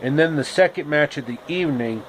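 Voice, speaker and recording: A man narrating a homemade story with deliberate, halting phrasing. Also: a little high, a little hiss or hum.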